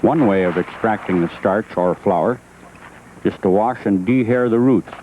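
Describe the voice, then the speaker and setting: A man's voice: on the low side, unhurried, narrow, tinny audio, background noise. A man reading scripted narration for an educational film, on an old 1980s film soundtrack.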